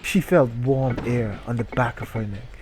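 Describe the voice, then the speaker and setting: A man hosting a comedic dramatic reading, excitable and given to jokey asides. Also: mid-range voice, normal speed, over music. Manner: excitable, breaks into jokey commentary. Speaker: reader and gamer host.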